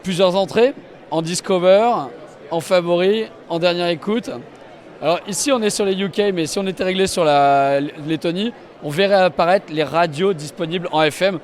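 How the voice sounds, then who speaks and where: A man with a fairly high voice walks through a product demonstration at a trade show. He explains each feature with enthusiasm, speaking as a representative of the company behind it. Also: normal speed. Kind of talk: live walk-through product demonstration to camera. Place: trade show stand and inside a car.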